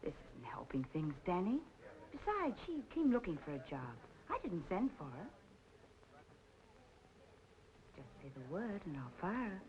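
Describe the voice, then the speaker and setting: Sardonic, swooping noir dame. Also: female voice, on the low side, sing-song, moderate pace, a little mellow. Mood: defensive. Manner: sardonic, biting. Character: jaded, jealous woman boss, sardonic and tough. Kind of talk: film noir melodrama acting, mid-century. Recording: muffled old film soundtrack, faint noise.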